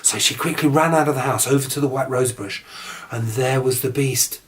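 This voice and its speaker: A man's voice: medium pitch, brisk. A man telling a children's fairy tale informally, with chatty, wandering asides. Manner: chatty, digressive, mock-casual asides. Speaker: storyteller. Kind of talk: informal improvised children's storytelling.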